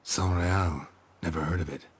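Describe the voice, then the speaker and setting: An actor playing a stoic monster hunter in a game, with a gruff husky voice and terse, deadpan delivery. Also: male voice, moderate pace. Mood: dry, skeptical. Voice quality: gravelly, husky low voice.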